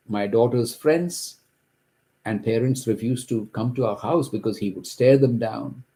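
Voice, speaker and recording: A middle-aged man from Kerala, India, who grew up speaking Malayalam, gives an earnest, halting account of his own story from his home office. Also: mid-range voice, dark tone. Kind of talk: personal testimony to camera.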